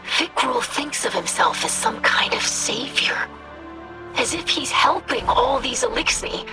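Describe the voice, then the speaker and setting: A woman's voice: high voice, very animated, unhurried. A woman voicing an earnest, precise young female alien scholar, a devoted daughter, in a video game. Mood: troubled, earnest. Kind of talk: video game character dialogue. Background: music.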